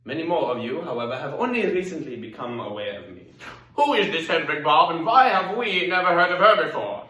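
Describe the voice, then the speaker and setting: A man's voice: fairly high pitch, expressive, pushed and bright, distant mic, pitched above the performer's own voice. A young man playing a flamboyant, wry East German woman cabaret singer in a theatrical, coy stage monologue. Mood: sardonic, coy. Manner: theatrical, coy, drawn-out pauses. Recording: echoey room.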